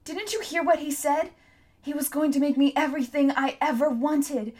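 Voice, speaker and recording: A woman voicing a furious, grieving teenage girl who shrieks and yells. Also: high-pitched, fairly even intonation, moderate pace, pitched above the performer's own voice, close to an everyday voice. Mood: bitter. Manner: shrieking, yelling.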